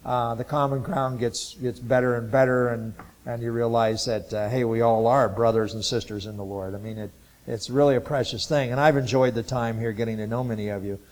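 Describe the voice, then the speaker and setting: A man in his sixties from Ohio, a Bible college missions teacher, giving an informal testimony talk to a church group in a halting, rambling way with many filler sounds. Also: medium pitch, steady tone, a little mellow, poor audio. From Ohio, USA. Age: 60s.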